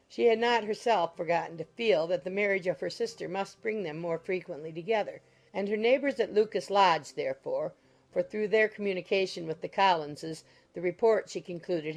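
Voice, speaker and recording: A woman narrates a classic novel in a medium-pitched voice with a creaky edge. Her delivery is scripted storytelling, set over soft music. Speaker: audiobook narrator.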